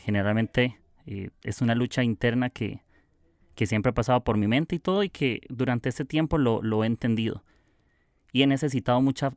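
A man's voice: medium pitch, smooth, dry recording, clean studio sound. A young man with a Costa Rican accent hosting his own podcast, talking in a casual, reflective and confessional way. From Costa Rica. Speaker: podcast host.

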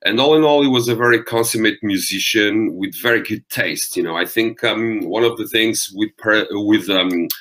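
A man from Portugal with a Portuguese accent and a deep voice, speaking English as a second language in a relaxed interview, pausing often with ums. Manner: thoughtful, frequent ums and restarts. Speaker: metal vocalist guest. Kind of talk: interview conversation.

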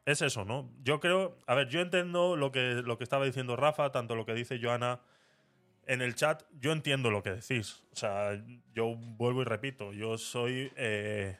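Un hombre de España con acento castellano que presenta un podcast en directo y habla de forma conversacional, con dudas y muletillas. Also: male voice, mid-range voice, moderate pace, a bit bright. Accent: Castilian Spanish. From Spain. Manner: conversational, hesitant, fillers. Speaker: podcast host. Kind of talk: live podcast chat.

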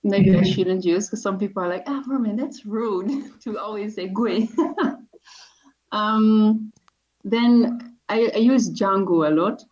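A woman's voice: medium pitch, slow pace, darkish tone. A young Dutch woman with a Dutch accent, chatting casually as a podcast guest, laughing often and restarting her sentences. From Netherlands. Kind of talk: casual interview chat. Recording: dull lo-fi audio.

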